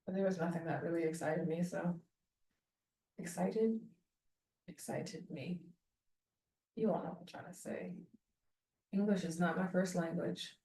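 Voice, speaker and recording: A woman in her thirties chatting casually while doing her hair, meandering and self-deprecating, thinking out loud as she goes. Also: lowish voice, fairly even intonation, a little slow, pauses often. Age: 30s. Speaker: small-business vlogger. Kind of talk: rambling casual chat. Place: home bathroom. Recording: echoey bathroom, voice far from mic.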